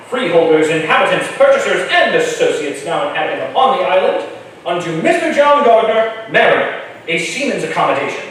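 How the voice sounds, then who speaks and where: A man's voice: high voice, a bit bright. A man playing a pompous, lordly colonial official in a theatrical staged reading. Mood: formal proclaiming. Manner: declamatory, theatrical. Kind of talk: staged historical drama reading. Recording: echoey hall from far off, noisy.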